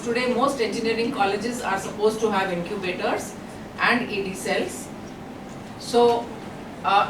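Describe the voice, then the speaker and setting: A middle-aged woman giving a lively guest lecture to college students, chatty and engaging, often asking the audience questions. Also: a little high. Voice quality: loud voice. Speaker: invited guest speaker. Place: college hall. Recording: echoey hall, far from mic, noisy.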